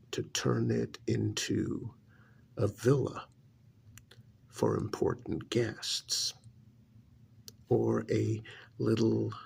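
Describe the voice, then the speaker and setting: A man playing a haughty, irritated older billionaire uncle in an ASMR roleplay, gravelly and breathy, talking down in drawn-out, sarcastic phrases. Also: on the low side, a little mellow, slight background noise, heavily acted. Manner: slow, condescending, drawn-out sarcasm with pauses.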